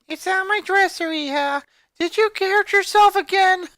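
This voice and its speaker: A woman's voice: very high-pitched, measured pace, a bit bright, no echo, pitched above the performer's own voice. A woman voicing a caring, worried mother in a story reading. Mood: concerned. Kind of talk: fan fiction character voice.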